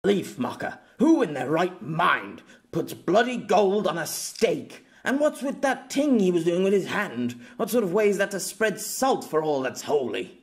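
A man's voice: high-pitched, big pitch swings, unhurried, hardly any pauses, voice pushed up, exaggerated voice. A man voicing a flustered, boastful middle-aged man in an audiobook, stammering and blustering. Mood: outraged.

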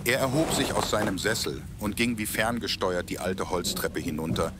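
A man's voice: sits low, normal speed, heavy background noise. A man reading scripted narration for an audio drama in a storytelling manner. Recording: heavy ambient sound effects under voice.

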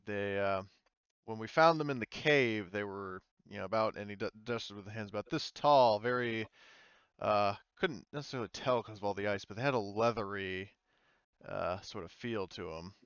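Polite, cautious low-voiced scientist played by a man. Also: moderate pace, fairly bright tone, clean tone, no room sound, highs cut off. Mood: hesitant, guarded. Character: polite, cautious male scientist escorting cargo.

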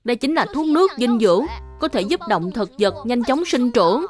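A woman's voice: on the high side, very animated, brisk. A woman voicing a helpful, earnest little boy in a dubbed children's cartoon, with a childlike manner. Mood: apologetic, worried. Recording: music behind the voice.

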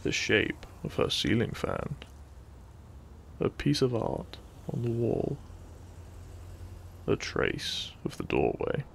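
Male sleep-story narrator: soft, soothing, very slow delivery with a supple, varied melody and hushed pauses. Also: medium pitch, huge pitch swings, gravelly, slight background noise. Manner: soothing, hushed, lingering pauses between short phrases. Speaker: narrator of sleep-aid audio. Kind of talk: soft, gentle bedtime story narration, ASMR-like.

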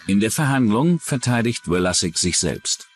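A man's voice: fairly low pitch, big pitch swings, moderate pace, soft background music. A man narrating a true-crime documentary from a script as its narrator.

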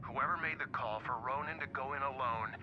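An actor playing a stern, authoritative police captain reprimanding someone over the phone in a video game. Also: male voice, mid-range voice, fairly quick, highs cut off. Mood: scolding, angry. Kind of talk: dramatic game voice acting.

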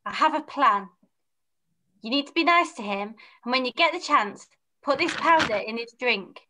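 A woman's voice: high-pitched, lively intonation, normal speed, bright, forceful tone, rough recording. A young woman playing a brave, earnest young male hero in an amateur pantomime read-through on a video call. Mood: resolute.

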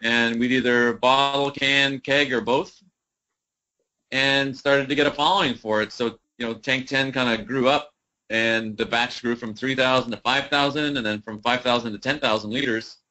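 A man from a brewery, talking about beer in a relaxed, joking way as a podcast guest. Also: moderate pace, rough recording. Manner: relaxed, joking, swings up in pitch. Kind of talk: casual interview chat.

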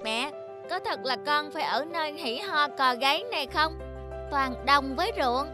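A woman voicing a stubborn city boy in an animated folk tale, with a plaintive, childlike way of talking. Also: high-pitched, moderate pace, natural voice. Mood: sulky. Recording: music under the voice.

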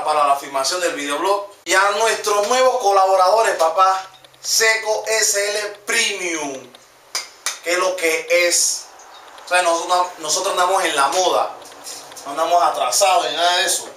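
A man's voice: high-pitched, normal speed, sharp tone. Young panama guy doing his vlog, slangy and rambling, echoey little room, mic kinda far. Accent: Panamanian Spanish. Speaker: vlog host. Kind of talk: casual comedic vlog narration. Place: small room with computer desk. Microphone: camera mic from a distance. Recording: echoey room, distant mic, faint noise.

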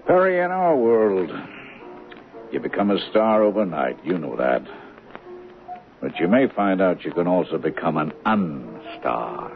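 An actor playing a smooth, patronizing, worldly show-business man in a theatrical 1970s radio drama. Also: low voice, sing-song, unhurried, full character voice. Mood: smug, dismissive. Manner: theatrical, condescending, calls people baby and honey. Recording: muffled vintage radio broadcast, music underneath.

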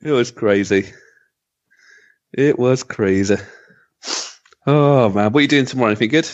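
A man's voice: medium pitch, moderate pace. A man with an English accent bantering casually as a podcast co-host over a slightly lo-fi connection.

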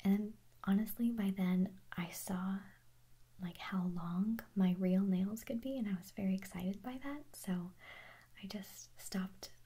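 A young woman answers viewer questions in a soft, airy whisper for an ASMR video. Her tone is mellow and slightly husky. She talks in a loose, rambling way, often restarting her sentences. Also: medium pitch, a little slow. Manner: chatty, rambling, self-correcting, giggly.

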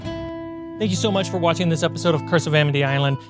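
A man acting as game master, narrating a tabletop roleplaying game with dramatic pauses. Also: on the high side. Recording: loud cinematic music behind. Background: cinematic music and sound effects.

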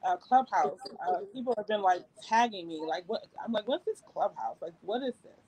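A woman's voice: mid-range voice, animated, moderate pace, darkish tone, slight background noise, poor audio. Woman guest on a video call, storytelling, easy laughter, loose restarts. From upstate New York. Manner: laughs often, rambling with restarts. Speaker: guest, executive producer. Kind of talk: casual interview storytelling.

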